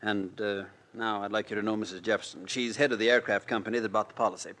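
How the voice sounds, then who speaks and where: An actor playing a poised, cultured insurance executive in a 1940s radio drama. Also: male voice, fairly low pitch, steady tone, fast talker, fairly bright tone, natural voice. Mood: businesslike. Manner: polished, businesslike, to the point.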